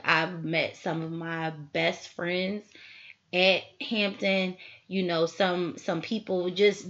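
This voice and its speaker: A woman's voice: lowish voice, lo-fi sound. A woman, hosting a casual solo podcast, chatty and full of asides to her listeners. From Philadelphia, USA. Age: 20s. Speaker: podcast host.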